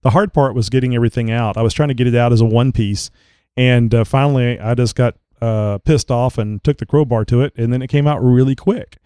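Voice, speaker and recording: A man hosting a casual online show talks in a loose, rambling way, stopping often on filler words. His voice is mellow and smooth, though a little crackly as he gets over a cold. The sound is dry and close. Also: medium pitch, fairly even intonation, fairly quick, no echo. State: recovering from a cold, slight crackle. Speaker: podcast host. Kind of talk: casual chat.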